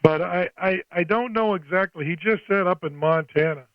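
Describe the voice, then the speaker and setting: A man's voice: high-pitched, moderate pace, highs cut off, very noisy. An older man chatting casually as an amateur radio operator, folksy and scattered, thinking aloud as he talks. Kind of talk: casual two-way radio chat.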